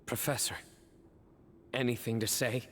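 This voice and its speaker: A man's voice: mid-range voice, flat delivery, a little slow, a bit bright, slight background noise, natural voice. An actor playing a perceptive, direct young man in dramatic video game dialogue. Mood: pressing.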